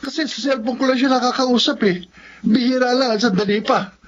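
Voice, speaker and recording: A man playing a nervous, soft-hearted young novice thief, hesitant and pleading, in a Filipino radio drama. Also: extremely high pitch, steady tone, fairly quick. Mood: urgent, pleading. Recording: dull lo-fi audio with faint noise.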